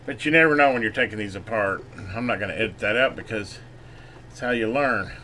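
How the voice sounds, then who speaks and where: A man giving a casual hands-on repair demonstration, folksy and unhurried as he talks while working. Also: lowish voice, pushed and bright. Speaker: channel host doing electronics repair. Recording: noisy room, clearly audible background noise.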